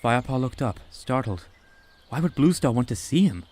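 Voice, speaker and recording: A man giving steady, scripted storybook narration for a fan-made audiobook. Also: medium pitch, moderate pace.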